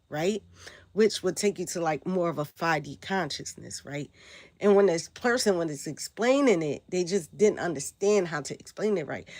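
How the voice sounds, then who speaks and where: A woman from Brooklyn with a New York accent, hoarse and raspy from a sore throat, talking loosely on a live stream and often asking "right?". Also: lowish voice, dry recording. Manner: rambling, loose, frequent "right?" and "like". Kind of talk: casual live-stream talk answering chat.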